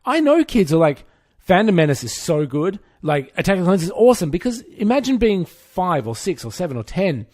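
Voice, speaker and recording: A man's voice: high voice, very animated, a little fast, darkish tone, no echo, clean studio sound. A man co-hosting a podcast, chatting informally in an opinionated, geeky, self-mocking way. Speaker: podcast co-host. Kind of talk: casual pop-culture chat.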